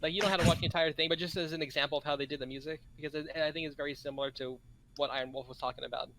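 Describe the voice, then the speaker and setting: A man chatting casually on a group podcast, haltingly, often restarting his sentences. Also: a little high, fairly even intonation, rough recording. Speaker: podcast panelist. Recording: bright voice with faint background noise.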